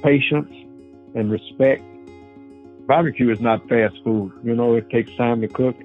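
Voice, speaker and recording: A man's voice: medium pitch, unhurried. Man in his seventies, phone-like sound. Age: 70s. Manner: folksy, animated, loose Southern grammar. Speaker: barbecue pitmaster. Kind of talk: personal storytelling. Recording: phone-like narrow sound with faint music.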